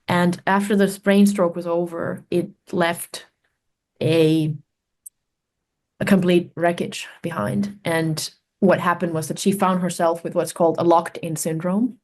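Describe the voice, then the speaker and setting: Low-voiced woman, thoughtful. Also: a little mellow. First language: Finnish. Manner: thoughtful, searching for words with many pauses and fillers. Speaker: guest researcher and author. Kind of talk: reflective conversational storytelling.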